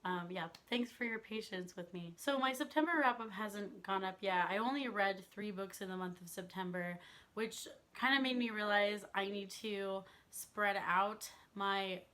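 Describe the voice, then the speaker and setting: A young woman vlogging about books in casual chat, her voice still slightly hoarse as it recovers, laughing often as she talks. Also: mid-range voice, moderate pace, a bit bright. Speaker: book vlogger.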